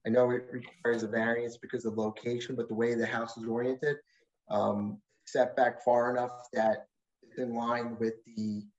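A man on a video call explains plans to a zoning board in a careful, hedging manner, often restarting his sentences. His voice sits in an ordinary middle pitch. The call audio is dull and muffled. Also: little pitch movement, moderate pace. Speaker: township engineer advising a zoning board. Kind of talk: explaining at a public meeting.

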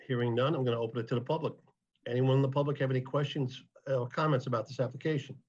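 A man's voice: medium pitch, steady tone, racing, a little mellow. A man chairing a zoning board meeting on a video call, businesslike and courteous. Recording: muffled video-call audio.

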